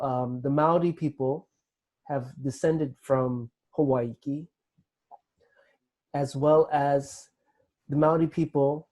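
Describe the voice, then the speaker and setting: A man explaining cultural traditions in a hesitant way, pausing often with fillers and restarts. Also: medium pitch, dark tone. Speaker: guest explaining Polynesian culture. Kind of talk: casual explanatory talk.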